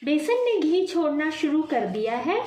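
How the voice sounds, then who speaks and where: A woman hosting a home cooking video, calmly talking viewers through each step and calling them friends. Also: sits high, measured pace, roomy sound. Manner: addresses viewers as friends, calm explaining. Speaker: cooking channel host. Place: home kitchen at the stove.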